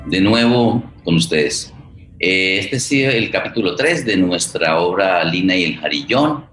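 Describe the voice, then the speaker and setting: A man with a Colombian accent presenting a radio theatre programme as host, in courteous, measured phrases. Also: deep voice, lively intonation, fairly bright tone, soft background music.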